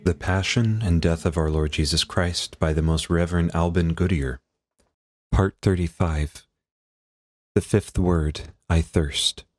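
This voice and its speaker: A man, a priest, reading a devotional text aloud in a solemn, measured and formal manner. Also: deep voice, a little mellow, dry recording, clean studio sound.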